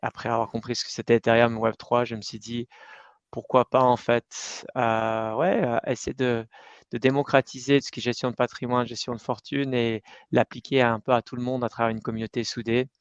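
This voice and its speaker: Middle-aged man with a smooth, even voice. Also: medium pitch, a little fast, no echo. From Iran and Switzerland, raised in the United States. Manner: animated storytelling with many fillers and restarts. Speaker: company cofounder, interview guest. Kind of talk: casual conversational interview. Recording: dull, slightly lo-fi dry recording.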